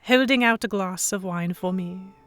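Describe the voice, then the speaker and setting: A woman narrating a scripted first-person audio drama in an expressive, dramatic storytelling manner. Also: medium pitch, normal speed, faint music behind.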